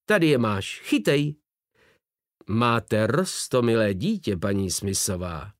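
A man reading an audiobook voices a shrewd, self-assured middle-aged detective with a crisp manner. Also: on the low side, expressive, no echo, studio quality, lightly acted. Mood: coaxing. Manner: crisp, assured, sly charm. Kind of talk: audiobook character voice.

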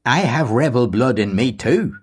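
A man's voice: mid-range voice, animated, normal speed, darkish tone, slightly rough, voice pushed up. A man voicing a stern old male schoolmaster who lectures firmly and pompously. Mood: lecturing. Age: older.

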